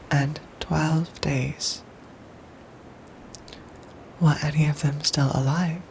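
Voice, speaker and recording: A woman voicing a gentle, dutiful android soldier in an ASMR roleplay, with soft breathy whispers and formal, measured phrasing. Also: low-pitched, a little hiss or hum, rough recording. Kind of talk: ASMR roleplay audio drama.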